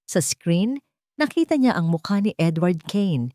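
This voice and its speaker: A woman with a deep voice narrates a scripted drama as a storyteller. The recording is very dry, with no room sound. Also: big pitch swings, measured pace, dark tone, no room sound.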